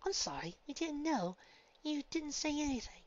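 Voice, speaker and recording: Woman doing a high, fussy lady pony. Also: very animated, moderate pace, highs cut off. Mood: apologetic, surprised.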